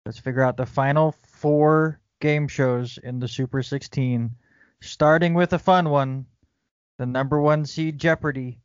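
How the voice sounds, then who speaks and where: A man's voice: on the high side, fairly even intonation, a little slow, clear, resonant tone, no echo. A man moderating a casual podcast panel, announcing results and introducing the next round. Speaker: moderator, host. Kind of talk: guiding a game show bracket discussion. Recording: dry, dull lo-fi recording.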